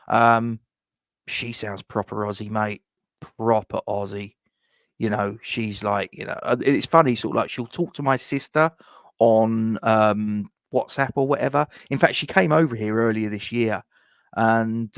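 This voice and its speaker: Gravelly chatty man. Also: medium pitch, dry recording, studio quality. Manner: rambling, chatty, many fillers and restarts. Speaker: livestream host reading viewer comments. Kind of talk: casual live chat with viewers.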